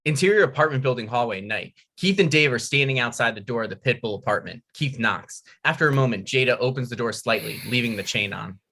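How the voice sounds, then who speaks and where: A man's voice: mid-range voice, fast talker, gravelly, few pauses, bright, forceful tone. A man reading screenplay stage directions as scripted narration.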